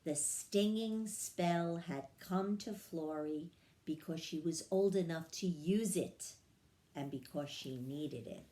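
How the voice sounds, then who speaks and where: Slow-paced woman reading a storybook. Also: low-pitched, warm tone. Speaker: story reader. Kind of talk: scripted children's storybook narration.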